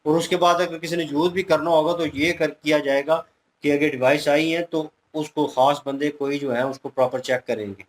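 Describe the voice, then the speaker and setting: A man's voice: fairly high pitch, rough recording. A man giving casual, conversational reaction commentary as a video host, explaining things with everyday examples.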